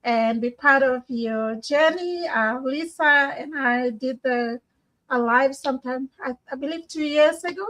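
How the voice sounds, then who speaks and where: A woman from answers interview questions over a video call. Her voice is quite high. She talks warmly and gratefully, with many small fillers. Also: measured pace, low-quality recording. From Papua New Guinea. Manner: warm and grateful, with frequent fillers. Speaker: guest, business owner.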